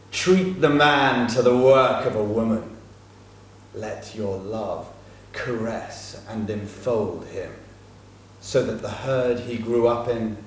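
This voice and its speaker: A grave hunter of old, who gives his orders in a slow, weighty cadence with a lowish voice. It sounds like a stage reading caught on a mic set well back in a very echoey room, with faint hiss underneath. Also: recorded from a distance. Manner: slow, solemn delivery. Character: grave male hunter urging a woman on. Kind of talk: dramatic reading.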